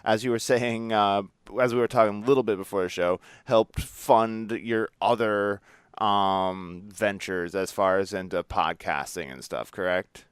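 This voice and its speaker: A man's voice: fairly low pitch, fairly even intonation, a little slow, smooth. A man hosting an interview podcast, speaking in a casual, informal way. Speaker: podcast host. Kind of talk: scripted intro and interview chat.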